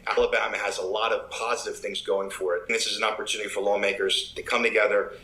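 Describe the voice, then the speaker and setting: A man speaks as a business expert in a news interview, his low voice very bright and forceful. He is a little halting, and the room echoes around him. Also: flat delivery. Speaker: business site recruiter, interviewee.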